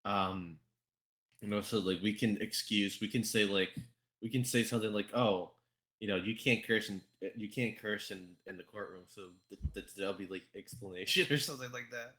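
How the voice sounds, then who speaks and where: A man chatting as host of a casual group podcast. His voice sits slightly low, and he talks haltingly, circling back over his words and swearing freely. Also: fairly even intonation, bright, forceful tone.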